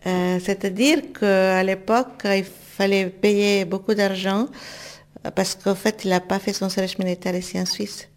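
A woman in her fifties from Italy, answering interview questions in a soft, warm manner. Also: medium pitch, steady tone, unhurried. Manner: soft-spoken, warm, laughs easily.

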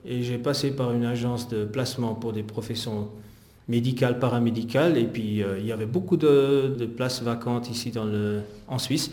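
A man's voice: mid-range voice, steady tone, moderate pace, a little mellow. Hesitant middle-aged man, roomy sound. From Netherlands. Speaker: interviewed physiotherapist. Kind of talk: conversational interview answers recounting personal history.

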